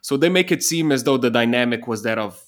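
A man's voice: medium pitch, lively intonation, fast talker. A young man from Slovenia, a native Slovenian speaker, hosting an interview and asking long, curious framing questions. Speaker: podcast host.

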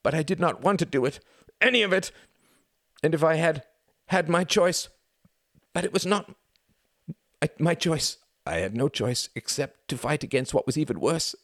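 A man's voice: lively intonation, normal speed, darkish tone, no room sound, studio quality. A man voicing an injured older brother who sobs and pleads, his voice breaking under guilt. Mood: anguished. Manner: pleading, voice breaking, strained and pitched up. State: sobbing. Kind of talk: audiobook character reading.

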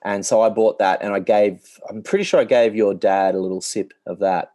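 オーストラリア訛りの30代男性が、ポッドキャストで淡々と単調な口調で雑談している。. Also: male voice, moderate pace, no echo. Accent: Australian. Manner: monotonous, low-key delivery. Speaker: podcast co-host, musician. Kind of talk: casual chat.

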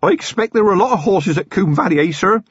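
A man voicing an eager, chattering young male constable, keen and bright. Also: sits high, expressive, fast talker, husky, no room sound, lo-fi sound, voice pushed up.

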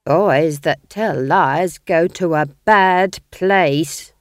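A high, slow-drawling old witch, earthy and bossy, teasing every word, as a woman narrator puts her on. The storybook voice sits up above the reader's own and stretches its phrases out, in a dry, close recording. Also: wildly swooping pitch, darkish tone, dry recording, exaggerated voice.

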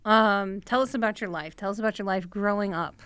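A woman's voice: mid-range voice, brisk, fairly bright tone, clean tone, dry recording. A woman co-hosting a podcast in casual chat, enthusiastic and playfully teasing with her guest. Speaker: podcast co-host, medical student.

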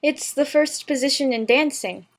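A woman's voice: high voice, normal speed. A woman playing a polite, puzzled young girl with a proper, earnest delivery in an audiobook. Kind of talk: full-cast audiobook character acting.

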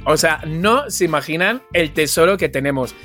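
Mexican man, podcast co-host. Campy, exclamatory banter with very animated pitch swings, music underneath. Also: normal speed. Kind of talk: casual comedic banter among co-hosts.

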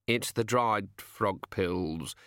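A man's voice: lowish voice, drawn-out words, a bit bright, no echo, studio quality. A man voicing a flustered, courtly older academic in an audiobook, fussy, polite and hesitant. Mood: reassuring, dismissive.

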